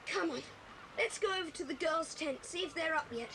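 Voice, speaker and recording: A woman's voice: extremely high pitch, fairly quick. A woman playing an eager young British boy in a 1980s children's adventure audio drama. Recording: hissy 1980s cassette recording.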